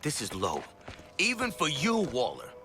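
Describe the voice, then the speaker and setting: A man's voice: a little high, wildly swooping pitch, measured pace, pushed and bright, faint music behind, full character voice. An actor playing a blunt, protective father and mercenary, exasperated with his daughter.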